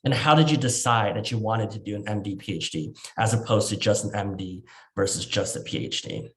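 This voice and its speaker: A man's voice: on the low side, fairly quick. A man moderating a webinar panel over a video call in a casual, conversational way. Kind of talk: casual hosting of an online panel.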